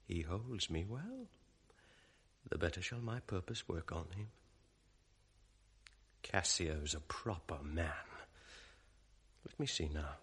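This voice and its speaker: An actor playing a scheming, sardonic male ensign in a 1980s radio drama, mocking and sly, sinking to a hushed mutter when plotting. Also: deep voice, very animated, slow pace, long pauses, husky, full character voice.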